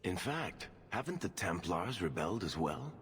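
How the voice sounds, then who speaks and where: In a big reverberant hall, a cagey dwarf rogue speaks in a low voice that moves expressively up and down. He is sardonic and offhand, a fantasy game storyteller giving little away. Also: very animated, normal speed, a bit bright, husky, full character voice. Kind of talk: dramatic fantasy game acting.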